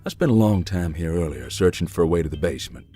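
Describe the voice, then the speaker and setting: A man playing a giant, easygoing, wry explorer in a visual novel, talking in a folksy, deadpan way. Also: sits low, fast talker, husky. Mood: wry, cautious. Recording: music behind the voice.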